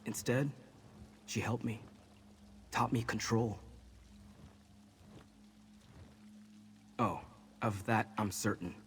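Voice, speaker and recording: A man playing a reformed, earnest sorcerer in a fantasy game, explaining things in a measured, controlled way. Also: fairly low pitch, steady tone, a little hiss or hum. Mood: earnest, reassuring. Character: reformed male sorcerer mentor, earnest and controlled, troubled past. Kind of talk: scripted fantasy game dialogue.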